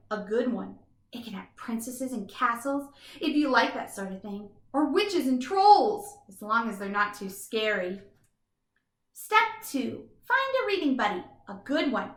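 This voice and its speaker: A woman doing an expressive children's read-aloud as a teacher, with emphatic, instructive delivery. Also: a little high, slow pace, reverberant. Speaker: teacher reading a picture book aloud.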